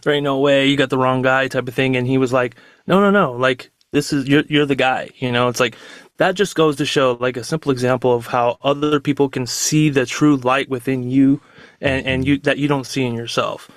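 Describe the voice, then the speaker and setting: A man's voice: medium pitch, fairly even intonation, fairly quick, no echo, studio quality. A man co-hosting a podcast, chatting casually in informal, slangy phrasing. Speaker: podcast co-host. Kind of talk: casual chat.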